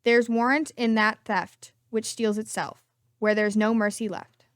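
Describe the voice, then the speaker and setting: A woman's voice: fairly high pitch, fairly even intonation, a little slow, close to an everyday voice. A woman playing a wary, bereaved young prince with a guarded manner in an amateur Shakespeare reading. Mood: wary, resolved.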